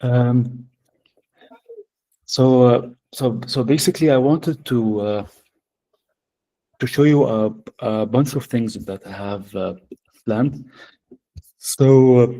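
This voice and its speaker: A man's voice: mid-range voice, creaky, warm tone, low-quality recording. A man with an Arabic accent presenting a workshop demo, hesitant and full of fillers, over a video call. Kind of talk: live software demo.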